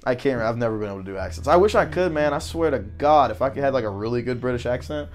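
A man's voice: mid-range voice, lively intonation, fast. Laid-back Southern man chatting. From South Carolina, US. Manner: laid-back, rambling, laughs often. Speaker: podcast co-host. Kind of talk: casual chat. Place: informal podcast setting.

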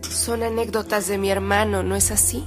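A woman's voice: mid-range voice, little pitch movement, normal speed, over music, lightly acted. An actress playing a courteous, inquisitive woman in a Mexican radio drama, with a polite, questioning manner. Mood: questioning, intrigued.

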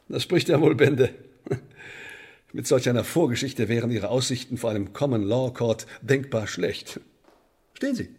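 Husky male voice, Elizabethan sheriff, radio play, menacing, sardonic, officious, mid-pitched. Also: a little slow, long pauses, darkish tone. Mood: gloating, threatening. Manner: officious, mockingly polite, wordy, threatening. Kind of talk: German radio play acting.

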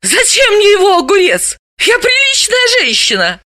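A woman voicing a shrill, indignant, sharp-tongued young woman with a very high voice. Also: wildly swooping pitch, normal speed, no echo, full character voice.

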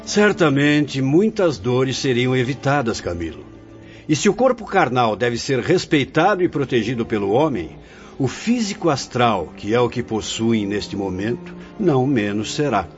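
Venerable spirit mentor, sweeping intonation. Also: male voice, mid-range voice, big pitch swings, unhurried, soft background music. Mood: grave, benevolent. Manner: grave, preacherly, formal, theatrical. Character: venerable male spirit mentor, benevolent and authoritative. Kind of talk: radio drama acting. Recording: muffled radio drama recording, faint background noise.

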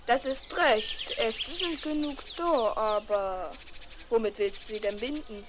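A woman's voice: a little high, takes it slowly. A woman playing a blunt, rustic young goatherd boy with a southern German accent in a 1970s children's radio play. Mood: eager, practical. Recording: noisy 1970s vinyl record.

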